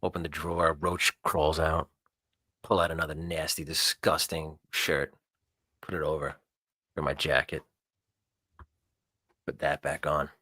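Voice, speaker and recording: A man with a very deep, forceful voice narrates his own actions in a tabletop game. He goes in short, halting fragments, improvising as he goes, with a dry, close sound. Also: no room sound. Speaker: tabletop roleplay player. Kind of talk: improvised first-person scene narration.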